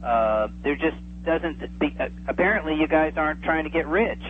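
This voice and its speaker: A man's voice: fairly high pitch, normal speed. A man speaking as an enthusiastic interview guest, chatting casually and at length. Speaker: interview guest, old-time radio enthusiast. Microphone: telephone. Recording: tinny phone line, faint hum.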